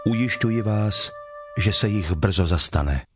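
Man acting low-voiced solemn teacher over music. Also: close to an everyday voice. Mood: solemn, instructive. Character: Jesus, solemn teacher telling parables and instructing followers. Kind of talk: dramatized scripture reading. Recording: music behind the voice.

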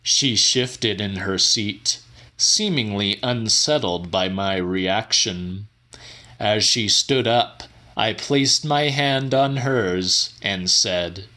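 A man reading a horror audiobook in a measured, theatrical way. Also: lowish voice, bright, forceful tone. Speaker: audiobook narrator. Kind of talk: dramatic horror audiobook narration.

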